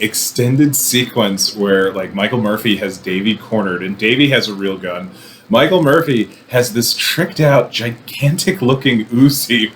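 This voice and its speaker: A man's voice: lowish voice, a little hiss or hum. A man hosting a movie review show in a casual, conversational way, with wry and irreverent asides. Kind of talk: casual, conversational film commentary.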